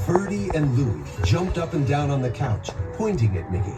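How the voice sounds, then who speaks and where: A man narrating a scripted children's story in an expressive storytelling manner. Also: on the high side, normal speed. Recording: loud music behind narration. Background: music.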